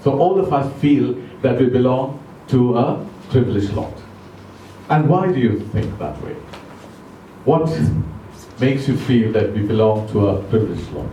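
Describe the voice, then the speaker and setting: A man giving an educational talk to a club audience, speaking slowly and deliberately, asking them questions, English not his first language. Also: medium pitch, expressive, warm tone, recorded from a distance, slight background noise. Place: club meeting room. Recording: echoey room recorded from afar.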